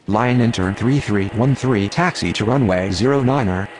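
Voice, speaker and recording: A man's voice: lowish voice, normal speed, slight background noise, natural voice. A man voicing a businesslike airline pilot and air controller in a game, speaking clipped, matter-of-fact radio phraseology.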